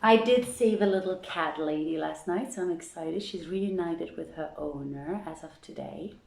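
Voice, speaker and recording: Female livestream host and story reader; low, mellow voice, roomy home recording. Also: normal speed, gravelly. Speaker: host reading aloud at an online event. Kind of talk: informal livestream chat and storybook reading.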